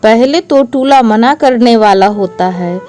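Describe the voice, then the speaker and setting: A woman's voice: fairly high pitch, slow pace, darkish tone, soft background music. A woman narrating a scripted moral story as a storyteller.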